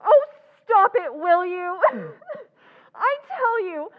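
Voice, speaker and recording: An actress playing Kathy, a distraught young sister, with sobbing outbursts in a radio drama. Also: female voice, extremely high pitch, big pitch swings, slow pace, heavily acted. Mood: hysterical. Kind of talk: 1940s radio acting. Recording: tinny narrow-band recording.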